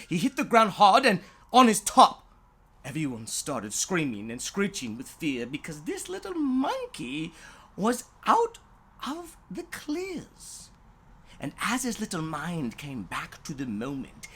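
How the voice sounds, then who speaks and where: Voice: medium pitch, fairly bright tone, breathy edge. A storyteller reading rhyming tales for children in a playful, dramatic way, drawing out words and sometimes dropping toward a whisper. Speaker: storyteller reading own stories.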